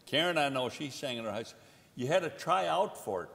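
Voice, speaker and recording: Older guy, gravelly voice, folksy rambly church story, long echo in the hall. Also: mid-range voice, animated, a little fast, fairly bright tone. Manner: rambling, folksy and self-deprecating. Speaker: guest singer sharing a testimony. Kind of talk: casual storytelling to a congregation. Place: church sanctuary. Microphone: handheld microphone.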